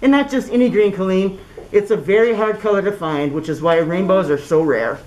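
A woman's voice: mid-range voice, a little fast, a little mellow, natural voice, rough recording. A woman voicing a tricky little male leprechaun from a storybook, with a coaxing, quaint, theatrical manner. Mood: pleading.

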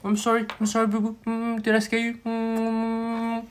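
A man's voice: mid-range voice, lively intonation, a little fast, slightly rough. A man playing a crime boss boyfriend in a roleplay skit, doting on his girlfriend with baby talk and menacing toward everyone else. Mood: doting, cooing. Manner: switches between sugary baby talk and cold threats.

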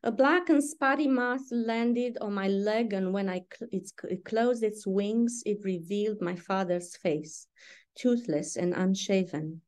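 A middle-aged woman with a Romanian accent reads her poems in an unhurried, deliberate way, with pauses between lines. She adds short asides between poems. It sounds like a video call from a room at home. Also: mid-range voice, darkish tone. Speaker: poet. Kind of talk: poetry reading.

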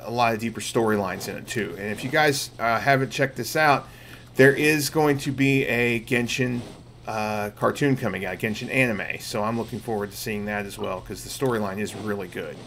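A man's voice: on the low side, moderate pace, a bit bright, a little hiss or hum. A middle-aged man giving casual live gameplay commentary, explaining things to viewers as he streams. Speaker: game streamer and host. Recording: game music behind the voice.

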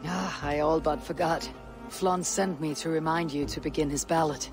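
A woman's voice: low voice, fairly even intonation, normal speed, close to an everyday voice. An actress playing a stoic, loyal Viking warrior woman in a dramatic game cutscene. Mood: quiet, reflective. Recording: loud background music under dialogue.

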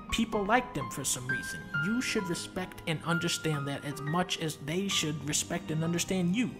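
A man's voice: fairly high pitch, close to an everyday voice. A man playing God as a blunt, sweary figure lecturing someone about his choices.

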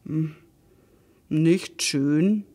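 A woman voicing a naive, curious, excitable piece of land in a children's story, with a wide-eyed, expressive manner. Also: low-pitched, extremely slow, voice pushed down, full character voice. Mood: subdued disappointment.

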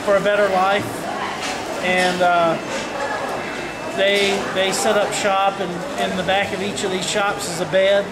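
A man in his thirties casually narrating a walking tour of a wet market, explaining with relaxed fillers, recorded on a handheld camera. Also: high-pitched, a little slow, pauses often. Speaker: family vlogger giving a market tour. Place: busy outdoor wet market. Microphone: handheld camera mic. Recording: loud crowd and street noise. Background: market crowd, vehicles, animals.

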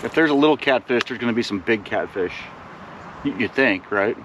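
A man's voice: mid-range voice, lively intonation, fairly quick, fairly bright tone, slight background noise, low-quality recording. A man in his forties on a riverbank, chatting casually to viewers and eagerly urging a child to reel in. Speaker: fishing vlogger, father. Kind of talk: casual outdoor vlog chat. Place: outdoors on a riverbank. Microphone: camera on the bank, a few steps away.

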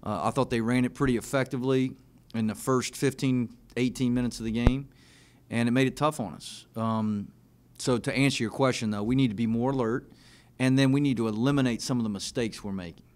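A man in his thirties, a basketball coach answering questions after a game, speaking in a measured, plain way with frequent fillers into a desk mic in a press room. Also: medium pitch, fairly even intonation, a little mellow. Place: press room in front of a team backdrop. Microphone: desk mic on a stand.

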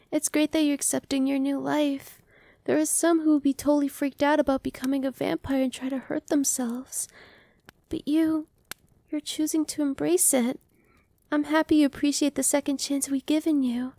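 A friendly young vampire girl, played by a woman, with a high voice and a mellow, warm tone. She talks softly and reassuringly, close to the mic in a dry room, and slips into playful whispers now and then. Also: steady tone, measured pace, no echo. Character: cheerful young female vampire, newly turned, friendly. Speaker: voice actress. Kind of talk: ASMR roleplay.

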